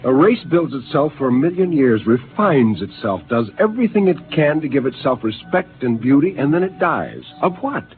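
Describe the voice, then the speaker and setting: A man's voice: medium pitch, very animated, moderate pace, few pauses, soft background music, lightly acted. An actor playing Spender, an intense, moralizing crewman grieving a dead race, in a 1950s radio drama. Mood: bitter. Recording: narrow-band vintage radio broadcast.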